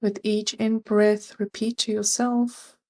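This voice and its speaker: A woman leading a scripted guided meditation, giving gentle, measured and soothing instructions. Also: mid-range voice, little pitch movement.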